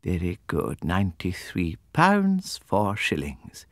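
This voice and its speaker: A stately older headmistress, played by a male reader in an audiobook classic. She is cold and commanding, with pompous formal phrasing and a lively swing in pitch, recorded close and dry. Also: takes it slowly, no echo, full character voice. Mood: brisk, imperious. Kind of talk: audiobook character acting.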